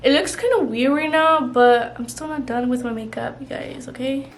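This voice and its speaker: A woman's voice: sits high, moderate pace, heavy background noise. A young woman vlogger chatting casually while doing her makeup, rambling with lots of "like" and "you know". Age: young adult. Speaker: beauty vlogger.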